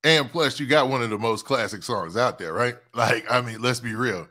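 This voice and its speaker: Upbeat male interviewer with a bright, sharp tone, keen and affirming. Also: mid-range voice, normal speed. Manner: eager, affirming, asks leading questions. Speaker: interview host. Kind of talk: casual interview chat.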